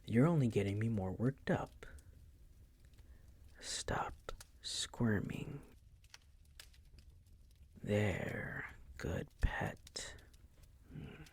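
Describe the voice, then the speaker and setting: A man playing a seductive, possessive vampire master in an intimate roleplay audio, teasing and coaxing in a breathy, husky voice that often drops to a whisper. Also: lowish voice, a little mellow, heavily acted. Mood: teasing, predatory. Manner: slow, teasing, condescending coaxing.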